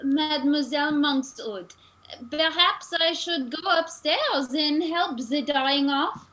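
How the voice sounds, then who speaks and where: Saucy high-voiced mademoiselle. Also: female voice, slow, a bit bright, poor audio. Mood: flirtatious. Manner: coquettish. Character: flirtatious, saucy mademoiselle guest. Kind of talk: comic radio play acting.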